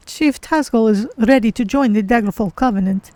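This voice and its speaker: A streamer voicing an earnest, curious female cat-folk adventurer in a fantasy game, with measured, formal phrasing. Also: medium pitch, darkish tone, dry recording, natural voice. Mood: earnest, polite. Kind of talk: in-character game roleplay narration.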